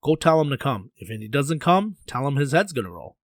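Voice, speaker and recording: A man voicing a bossy, excitable king in a folktale, with blustery, slangy speech. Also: mid-range voice, a little fast, no echo, studio quality.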